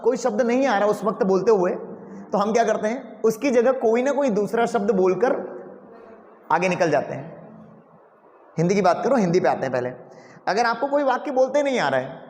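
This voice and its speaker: Male teacher, high voice, rhetorical questions to students, roomy classroom echo. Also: lively intonation, fairly quick, darkish tone. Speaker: English teacher. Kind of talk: classroom lecture.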